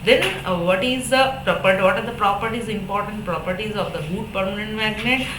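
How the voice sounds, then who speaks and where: An echoey, noisy room recording of a woman with an Indian accent. She lectures on a technical subject in a mid-pitched voice. Her explanatory delivery is halting and repetitive, often punctuated by short check-in tags. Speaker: electronics lecturer. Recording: echoey room with background noise.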